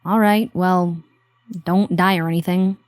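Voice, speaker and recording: A woman acting as a reluctant but loyal accomplice in a psychological horror audio drama. Her voice is on the low side and recorded very dry and close. She talks with sardonic, conversational bluntness. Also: fairly even intonation, a little slow, no room sound, close to an everyday voice. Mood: dryly concerned.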